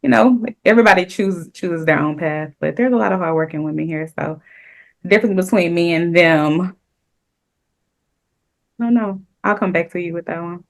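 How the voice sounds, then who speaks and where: A woman's voice: lowish voice, moderate pace, vocal fry. A woman from Louisiana on a podcast panel, thinking aloud and hedging her answers. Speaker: podcast guest. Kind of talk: casual panel chat.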